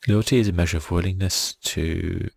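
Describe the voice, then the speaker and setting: A man giving soft-spoken ASMR commentary on a video game in a murmured, laid-back way, as if thinking aloud. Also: low voice, fairly even intonation, moderate pace, gravelly, dry recording. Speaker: gaming channel host. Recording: faint music behind.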